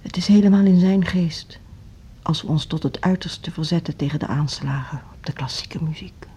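An actress playing a grieving, earnest woman in an intimate radio drama, with a hushed, husky voice. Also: low voice, moderate pace, a little hiss or hum, close to an everyday voice. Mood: grieving, resolute. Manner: earnest, subdued, intense. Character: grieving, earnest music teacher, a determined but lonely woman. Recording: dull lo-fi radio drama recording.

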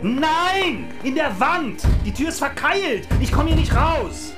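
A man's voice: very high voice, very animated, slow pace, over music, full character voice. A man acting in a German radio drama as a cynical, hot-tempered radio reporter in his thirties, pompous and given to coarse cursing. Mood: furious, shouting. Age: 30s.

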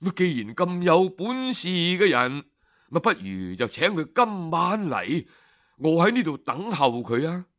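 Man voicing an eager envoy, unhurried. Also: fairly high pitch, big pitch swings, a bit bright, no room sound. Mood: impatient. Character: eager, courteous male Qin envoy of ancient China.

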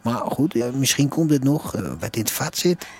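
A man playing a gossipy underworld insider musing aloud in a radio drama, with a husky voice. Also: medium pitch, animated, normal speed, a little mellow. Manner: colloquial, ruminating storyteller.

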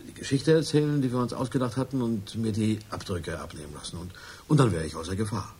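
An actor playing a nervous, self-justifying crook confessing in a radio crime drama, halting and colloquial. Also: male voice, on the low side, darkish tone, slight background noise, natural voice. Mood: uneasy, agitated.

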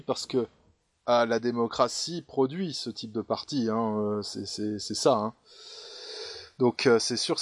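Casting: male host, dry close sound, halting casual delivery. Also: mid-range voice, no room sound. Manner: hesitant, frequent fillers. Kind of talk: casual panel discussion.

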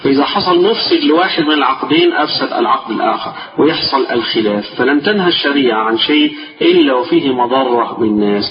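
A man with an Egyptian accent teaching a religious lesson. His voice is tired and strained. He reads a text slowly, then explains it in colloquial speech and repeats the key phrases. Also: mid-range voice, animated. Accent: Egyptian Arabic. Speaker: sheikh teaching a class. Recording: distant, echoey, dull-sounding lecture recording with music.

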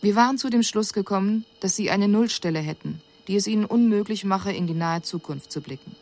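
An actress playing an alien envoy in a radio drama, giving a formal mission report in a sober, measured and exact way. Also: female voice, medium pitch, darkish tone, lightly acted. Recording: radio drama recording with audible background noise.

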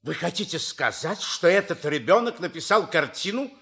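A man playing a flustered, outraged English gentleman in an audio comedy, exclaiming in agitation. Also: high voice, sing-song, moderate pace, creaky, exaggerated voice. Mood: stunned disbelief. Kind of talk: theatrical audio comedy acting.

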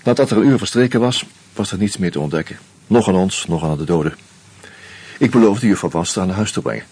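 An actor playing a wry, uneasy screenwriter who narrates a radio play in a measured, reflective way with hesitant fillers. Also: male voice, deep voice, animated, darkish tone, breathy edge, full character voice. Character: wry, uneasy male screenwriter caught up in murders.

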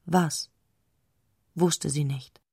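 Slow, husky, creaky female narrator. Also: low-pitched, fairly even intonation, mellow, no room sound, studio quality. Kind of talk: scripted literary narration in a radio play.

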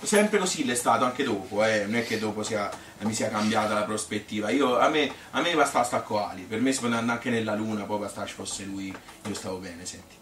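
A man's voice: lowish voice, a little fast, few pauses, fairly bright tone, distant mic, lightly acted. Old Tuscan grandma played by a man, feisty, fond, rambling stage storyteller; roomy, noisy recording. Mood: fond reminiscing. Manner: rambling, colloquial, repetitive, with little interjections. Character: old Tuscan grandmother reminiscing fondly, chatty, feisty, devoted wife. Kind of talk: spontaneous-sounding theatrical monologue.

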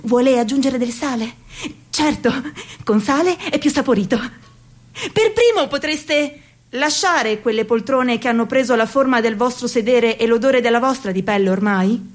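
A woman performs a young waitress in a radio play, overqualified and fed up, serving her lines with measured sarcasm. Her voice sits high and light for a woman. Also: expressive, close to an everyday voice. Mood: sardonic. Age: 30s. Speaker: actress in a radio theatre piece. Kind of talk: theatrical monologue.

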